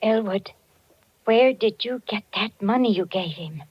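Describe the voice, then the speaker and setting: An actress playing a worried, morally troubled wife with emotional, dramatic delivery in 1940s radio style. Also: female voice, medium pitch, a little slow, husky. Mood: anxious. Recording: dull, band-limited 1940s radio recording.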